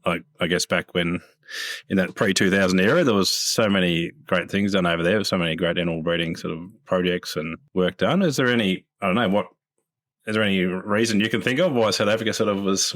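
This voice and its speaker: A man hosting a podcast, talking in a relaxed, rambling way as he introduces and questions his guest. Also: sits low, no echo. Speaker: podcast host. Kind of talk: casual interview chat.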